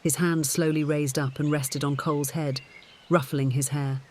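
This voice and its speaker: Higher-voiced man narrating a story, noisy background. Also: flat delivery, normal speed, darkish tone. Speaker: audiobook narrator.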